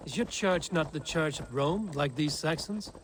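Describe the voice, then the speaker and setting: An actor playing a steady, honourable Viking warrior in a video game, level-headed and firm. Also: male voice, a little high, moderate pace, noisy background, lightly acted. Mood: curious. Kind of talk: dramatic video game acting.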